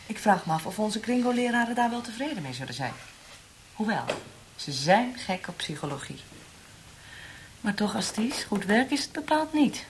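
An actress playing a cold, taunting secret police interrogator in a radio drama, speaking with controlled, coolly formal menace. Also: female voice, mid-range voice, very animated, normal speed, close to an everyday voice. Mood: mocking, teasing. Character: cold, mocking female secret police interrogator, perfectionist and cruel. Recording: noisy radio play recording with clicks.

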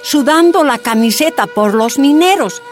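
An outspoken miner's wife gives earnest testimony in a radio drama. Her fairly high voice swings expressively, colloquial and emphatic, with music behind her. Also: lively intonation, moderate pace. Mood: earnest, wry.

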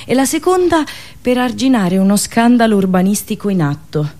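A woman radio host delivering a theatrical, satirical monologue with ironic, deliberate phrasing and repetitions. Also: medium pitch, big pitch swings, dark tone.

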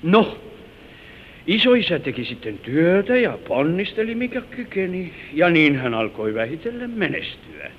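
A gentle old grandfather reminisces tenderly and solemnly, now and then drifting into earnest moral advice. He speaks as in a vintage radio drama, through a thin, phone-like recording with light hiss. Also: on the high side, sing-song. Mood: reminiscing. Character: gentle old grandfather telling his life story to granddaughter. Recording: tinny, noisy old radio broadcast recording.

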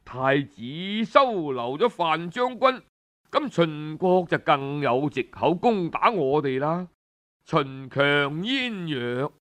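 A careful old-world court tutor voiced by a male storyteller counsels in Cantonese. He is earnest and admonishing, weighing each phrase slowly in a high-set male voice for a historical audiobook. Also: a bit bright, exaggerated voice. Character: cautious court tutor, a man, earnestly advising his prince.

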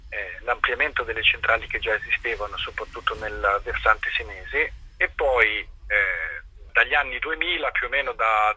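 A man being interviewed as an activist, explaining informally and haltingly, searching for his words. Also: medium pitch, pushed and bright, slightly rough, rough recording.

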